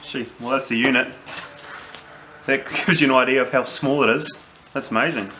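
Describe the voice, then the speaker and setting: Roomy noisy recording, Australian man, casual gear reviewer, mid-pitched voice, halting unscripted delivery. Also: fairly even intonation, pushed and bright. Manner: unscripted, halting, thinking aloud. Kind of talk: casual unboxing and review talk. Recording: roomy, distant mic, noisy background.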